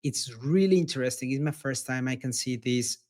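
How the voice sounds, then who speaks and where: Mexican-accented founder, earnest and repetitive. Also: male voice, mid-range voice, moderate pace, a little mellow. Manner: earnest, repeats words, non-native phrasing. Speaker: startup founder guest. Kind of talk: casual interview answers.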